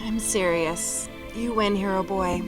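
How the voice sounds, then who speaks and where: A woman's voice: mid-range voice, fairly even intonation, measured pace, over music, natural voice, low-quality recording. A woman playing a flirtatious, defiant, sly cat burglar, teasing and taunting in a scripted table read. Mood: seductive.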